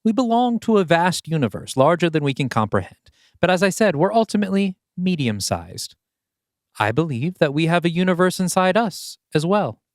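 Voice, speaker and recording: A man voicing a gentle, philosophical older male mystic in an audio play, speaking with earnest calm. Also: fairly high pitch, moderate pace, dry recording, studio quality, pitched above the performer's own voice. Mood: serene, earnest.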